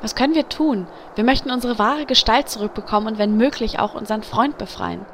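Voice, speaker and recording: High-voiced, sharp-tongued undead priestess played by a woman. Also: fairly quick, lightly acted. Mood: respectful. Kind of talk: German fantasy parody audio drama. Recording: background noise.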